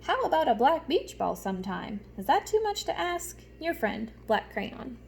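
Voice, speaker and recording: Resentful storybook crayon, done by a woman, higher voice, complaining with big swoops. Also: sing-song, moderate pace, gravelly, slight background noise, full character voice. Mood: aggrieved.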